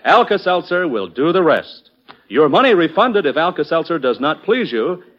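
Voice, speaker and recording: A man with an American accent reading a scripted 1940s radio advertisement in a polished, persuasive, measured announcer's delivery. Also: a little high, very animated. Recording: muffled vintage radio recording.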